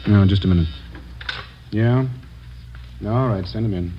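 An actor playing a gruff, no-nonsense police captain in a mid-century radio crime drama, curt and authoritative. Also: male voice, lowish voice, a little slow, natural voice. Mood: wry, businesslike. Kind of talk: 1940s-50s radio crime drama acting. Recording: dull, noisy old radio recording.

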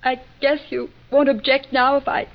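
An actress playing an anxious, wounded wife with an earnest, dramatic manner, in old radio melodrama style. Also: female voice, sits high, flat delivery, normal speed. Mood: wounded, bitter. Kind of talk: 1940s-50s radio melodrama acting. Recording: muffled, noisy old radio recording.

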